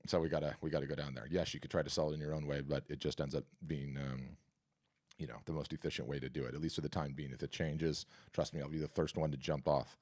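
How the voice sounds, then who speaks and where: A man hosting a live art business workshop and answering questions, talking in an energetic, conversational, salesy way with a scratchy, hoarse voice. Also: very deep voice, fast talker. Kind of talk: live webinar talk and Q&A.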